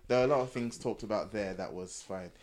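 A man's voice: on the low side, quick pace, darkish tone. A young man chatting casually and candidly as a podcast co-host, repeating phrases as he explains. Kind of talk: casual, candid group chat.

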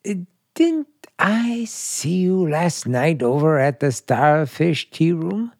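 Drawling, gravelly, forward stranger. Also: male voice, fairly high pitch, big pitch swings, slow pace, darkish tone, no room sound, studio quality, exaggerated voice. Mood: flirtatious. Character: folksy, forward middle-aged hotelkeeper, a flirtatious male stranger. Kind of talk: radio play acting.

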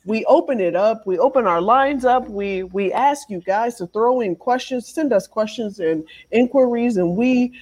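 A man's voice: sits high, moderate pace, few pauses, darkish tone. A man co-hosting a casual podcast, joking and teasing in loose banter. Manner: playful, teasing, repeats himself. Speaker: co-host.

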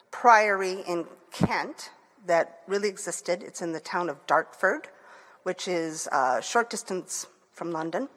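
A woman's voice: fairly low pitch, fairly bright tone. A woman from Michigan with a Michigan accent, an author talking eagerly about her novels, with frequent fillers and restarts. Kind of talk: book talk. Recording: long hall reverb.

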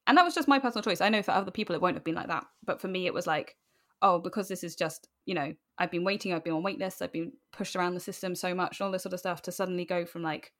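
A woman's voice: on the low side, very fast, a bit bright. A woman speaking candidly and informally as an interview guest, animatedly telling personal stories. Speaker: podcast guest.

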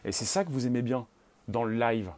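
A man hosting a livestream, talking casually to his viewers in an excitable, rambling, self-promoting way. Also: mid-range voice, brisk, dry recording. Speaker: livestream host.

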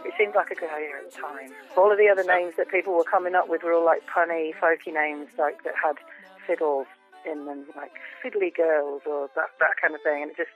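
A woman with a low voice, a folk musician chatting as a guest in a phone interview, often repeating words while she thinks. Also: fairly even intonation, fairly quick, soft background music. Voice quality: low female voice. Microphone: telephone. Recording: tinny phone line.

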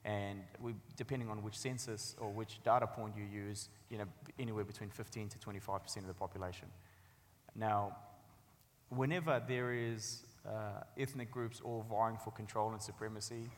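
A man's voice: on the low side, fairly even intonation, normal speed, slight background noise. A man, giving an articulate, storytelling talk about his own life as a guest author. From Afghanistan, later New Zealand. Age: 30s. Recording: echoey hall with long reverb.

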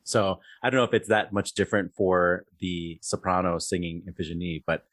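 A deep-voiced man hosts an interview show about opera. He asks long, winding questions padded with uh and um, in a dry, close sound. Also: steady tone, normal speed, a bit bright, no room sound. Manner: chatty, rambling questions with many fillers. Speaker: podcast host. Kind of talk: conversational interview.

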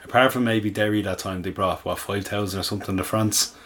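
A man with an Irish accent co-hosting a casual podcast, relaxed and a little hesitant, often restarting his sentences. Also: fairly low pitch, a little fast. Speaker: guest co-host. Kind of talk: casual chat.

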